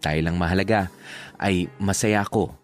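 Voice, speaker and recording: A man's voice: deep voice, fairly even intonation, normal speed, soft background music, lightly acted. An actor playing an earnest young man narrating his own love story in a radio drama, reflective, with a Filipino accent. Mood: reflective, sincere. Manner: sincere, reflective. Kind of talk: first-person radio drama letter narration.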